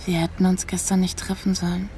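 A woman's voice: fairly low pitch, monotone, brisk, warm tone, a little hiss or hum, natural voice. An actress playing a searching, disillusioned young woman in a naturalistic radio drama, talking pensively. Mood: reproachful.

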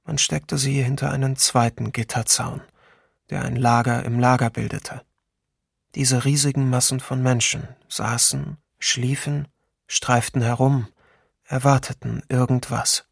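A sober wartime eyewitness for a documentary radio play. His voice is husky and creaky, recorded very dry, and he narrates in a measured, grave way. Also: mid-range voice, little pitch movement, no echo. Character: male eyewitness soberly recounting wartime camp atrocities.

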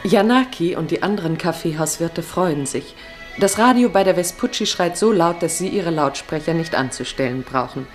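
Female narrator, low voice, literary radio play, scripted storytelling, faint music behind. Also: lively intonation, normal speed.

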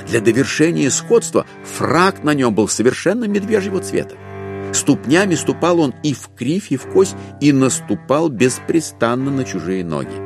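A man narrating a literary classic for an audio drama in an expressive storytelling manner. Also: lowish voice. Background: faint music.

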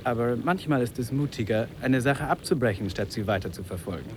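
Man acting sceptical trekker, animated intonation, dry teasing, vintage radio drama, faint water behind. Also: medium pitch, fairly quick, natural voice. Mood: wry, warning. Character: wry, cautious male trekking companion. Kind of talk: 1950s radio play acting. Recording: noisy track with running water.

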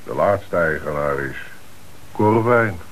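A man's voice: rumbling low, animated, takes it slowly, fairly bright tone, heavily acted. A man acting in a radio play as a wry, grumbling, matter-of-fact police detective. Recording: noisy, crackly old radio play recording.

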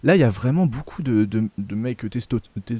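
A man's voice: medium pitch, animated, fairly quick, background noise. A man from France hosting a casual film review chat, hesitant and opinionated, with many fillers and restarts. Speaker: film review show host.

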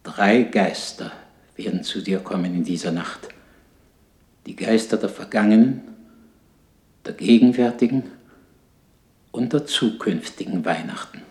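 A tormented male ghost, played by a man in an old radio play. He speaks very slowly in a lamenting, solemn warning. The low voice slips toward whispering and rings in heavy echo. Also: darkish tone, close to an everyday voice. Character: tormented ghost of a dead miser, remorseful, warning. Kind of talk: 1960s German radio drama acting. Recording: echoey 1960s radio play recording.

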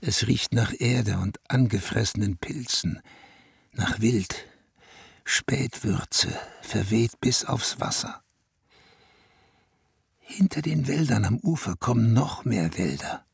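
An actor playing a weary, regal prince-elector in a German radio drama, musing in a stately way with a husky, gravelly voice. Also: male voice, fairly low pitch, expressive, slow pace, pauses often, dry recording, studio quality, exaggerated voice. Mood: wistful, weary. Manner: stately, musing, speaks in royal plural.

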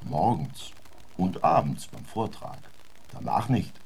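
A man's voice: low-pitched, very animated, takes it slowly, creaky, darkish tone, slightly rough, exaggerated voice. A man playing a simple, deferential manservant in a radio drama, answering in a terse, subdued, guarded way. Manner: terse, subdued, unlettered grammar. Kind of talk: German radio drama acting.

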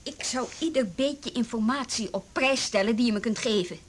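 Woman acting a stern, high-voiced policewoman. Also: moderate pace, slight background noise, close to an everyday voice. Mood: hard, pressing. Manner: brusque, commanding. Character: stern, sarcastic policewoman grilling a young suspect. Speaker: police interrogator. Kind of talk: Dutch radio drama acting.

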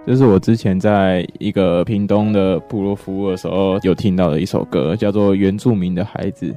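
Very dark-voiced, quick young Taiwanese man. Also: low voice, steady tone, faint music behind. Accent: Taiwanese Mandarin. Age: young adult. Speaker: student guest. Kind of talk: casual interview answers.

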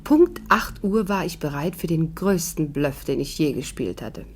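An actress playing a clever, courteous amateur sleuth who also narrates a 1950s radio play, earnest and articulate. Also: female voice, sits low, lively intonation, normal speed, a little hiss or hum. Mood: reflective narration. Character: clever, polite amateur sleuth bluffing as secretary. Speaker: heroine and narrator.

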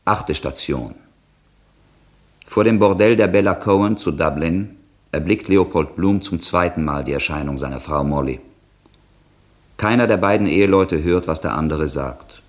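An actor playing a sober announcer who sets out each scene in a scripted German radio drama. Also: male voice, low voice, normal speed, natural voice. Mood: measured.

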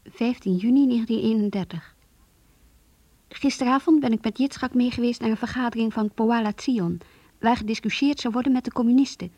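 Husky, half-whispering shy young woman. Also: on the high side, fairly quick, darkish tone, no room sound. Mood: earnest. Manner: intimate, hesitant, confiding. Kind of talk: radio drama diary monologue.

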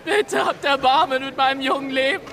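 A man's voice: very high-pitched, fairly quick, noisy background. A young male actor playing a terrified seventeen-year-old pirate who pleads and wails for mercy in a radio play. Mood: pleading, terrified. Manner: pleading, panicked, wailing. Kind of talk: historical radio play acting. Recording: loud outdoor crowd behind.

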